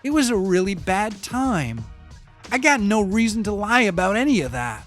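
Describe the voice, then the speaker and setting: A young male eyewitness played by a man in an audio drama monologue, with a fairly high voice over music. He is rattled and defensive yet wry, confiding his story in loose, profane street talk. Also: sing-song, normal speed, fairly bright tone. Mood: defensive, shaken. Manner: casual, slangy, profane, confiding. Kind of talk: first-person audio fiction monologue, conversational storytelling.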